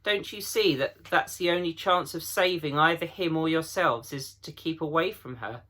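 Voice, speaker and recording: A man's voice: fairly high pitch, moderate pace, bright, forceful tone, voice pushed up. A man as a sensible, motherly storybook beaver wife. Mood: warning. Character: sensible, motherly female beaver, anxious and practical.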